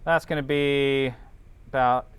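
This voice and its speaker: Male instructor, smooth and clean tone, mid pitch, informal working-it-out delivery, talks to students while calculating. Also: fairly even intonation, slow pace. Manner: thinks aloud while working, checks in with students. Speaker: teacher. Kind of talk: informal classroom lecture working through calculations.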